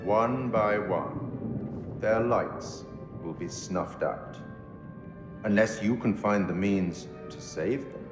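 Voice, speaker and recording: Low, slow, ominous curator in a hall. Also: male voice. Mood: grave, foreboding. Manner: formal, measured, ominous, addresses listener directly. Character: mysterious, sardonic male curator and keeper of untold stories. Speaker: narrator and host figure of a horror game. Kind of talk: theatrical scripted game monologue. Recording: echoey hall reverb, music behind. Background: music.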